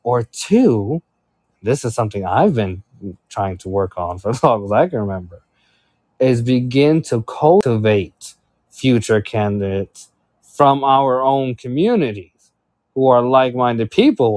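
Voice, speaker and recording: A man's voice: medium pitch, lively intonation, unhurried, a little mellow, no echo. A man laying out his points in a panel discussion, methodical and persuasive, often checking in with "right?". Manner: structured, persuasive, keeps saying right.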